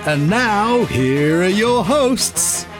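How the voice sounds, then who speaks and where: A man's voice: high-pitched, expressive, very slow. A man giving a recorded podcast introduction in an announcer's delivery, over music.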